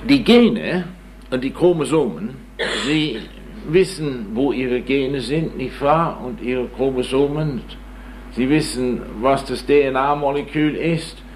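An older man in his seventies lectures at a deliberate, slow pace. He rambles off track and mocks himself, asking the audience to agree with him. There is a faint hiss and hum in the recording. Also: mid-range voice, rough recording. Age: 70s. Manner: slow, digressive and self-mocking, with frequent tag questions. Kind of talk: informal lecture.

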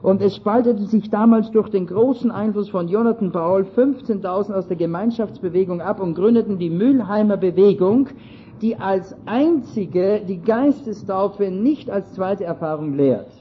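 A man's voice: sits high, normal speed, slight background noise. A man with an Austrian accent giving an earnest, emphatic lecture-style sermon. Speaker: speaker. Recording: dull lo-fi recording.

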